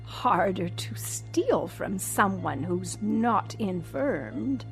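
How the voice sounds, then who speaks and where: Slow, halting, genteel old lady. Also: medium pitch, lively intonation, creaky, faint music behind, heavily acted, poor audio. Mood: quietly menacing. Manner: genteel, condescending, deliberate. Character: cold, genteel old rich woman recovering from stroke, quietly menacing. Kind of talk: audio drama acting.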